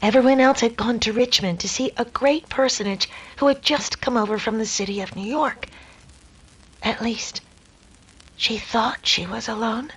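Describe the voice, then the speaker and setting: An earnest young lady of old radio drama, played by a woman, speaking high with a slightly husky edge. Her delivery is polite and dramatic in the 1930s manner. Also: moderate pace, a bit bright, exaggerated voice, rough recording. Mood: earnest, hushed. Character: nervous, polite young lady, reenacting her defiant ancestress.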